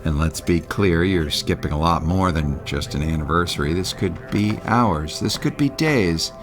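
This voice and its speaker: A man's voice: low voice, normal speed, over music, lightly acted. An actor playing a blunt, exasperated radio station manager in an audio drama, sarcastic and profane as he argues. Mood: tense, resigned. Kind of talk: naturalistic audio drama acting.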